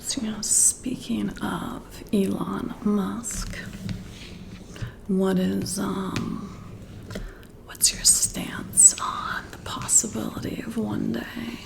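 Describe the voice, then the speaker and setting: A woman speaks in a hushed half-whisper for ASMR, intimate and musing. Her pace is slow and unhurried, and her voice breaks into heavy creak. It feels like a casual one-sided chat with the listener. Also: mid-range voice, fairly even intonation. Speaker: ASMR roleplay performer.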